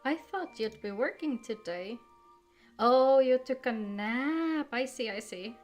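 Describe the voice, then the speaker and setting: Female game streamer, higher-pitched voice, chatty and informal, music underneath. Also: lively intonation, takes it slowly. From Finland. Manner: chatty, greets viewers by name. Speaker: game livestreamer. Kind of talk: casual livestream chat with viewers.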